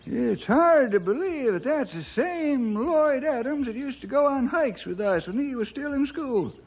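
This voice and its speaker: Old homespun sidekick, high and excitable Western drawl, exclaiming, dull old radio recording. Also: male voice, measured pace, slightly rough, exaggerated voice. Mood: rueful, earnest. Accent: American West. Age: older. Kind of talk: 1960s radio drama acting.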